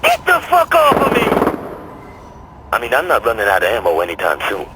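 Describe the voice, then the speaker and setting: An actor playing a brash, trigger-happy gang fighter in a video game, cocky and combative. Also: male voice, on the low side, lively intonation, very fast, very noisy, lightly acted. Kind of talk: video game cutscene acting.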